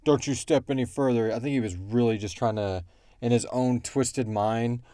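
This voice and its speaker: A man's voice: medium pitch, moderate pace, dark tone, dry recording, clean studio sound. A man hosting a casual question-and-answer podcast, talking in an informal, joking, slangy way. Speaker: podcast host and show creator. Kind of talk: casual Q&A discussion.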